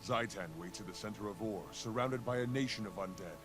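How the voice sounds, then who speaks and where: An actor playing a stern male ally in a video game, briefing in a brisk, serious way. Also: lowish voice, close to an everyday voice. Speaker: game character. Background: orchestral game music.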